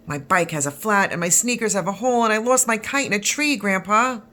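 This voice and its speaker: A woman's voice: mid-range voice, a little fast, bright, forceful tone, pitched above the performer's own voice, natural voice. A woman voicing a grandchild in a storybook, talking plainly about small troubles. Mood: complaining. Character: grandchildren complaining to their grandpa.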